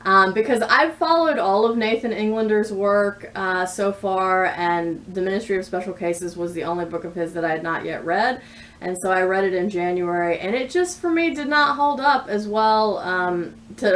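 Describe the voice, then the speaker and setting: A woman's voice: on the low side, fairly bright tone. A woman in her thirties chatting casually about books as a vlogger, with thoughtful, bookish phrasing. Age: 30s. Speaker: book vlogger. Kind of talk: casual book review chat to camera. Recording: distant mic with clear background noise.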